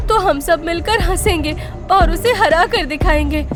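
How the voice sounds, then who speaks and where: Emotional young-hearted village wife, female actor, very high urgent voice, pleading yet determined, cartoon drama. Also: unhurried, over music. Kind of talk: Hindi cartoon story acting.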